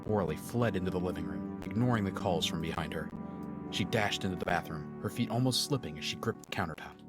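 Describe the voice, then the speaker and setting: A man's voice: low voice, quick pace, natural voice. A man reading scripted, suspenseful narration for a fantasy audio drama. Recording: loud music over the voice. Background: loud instrumental score.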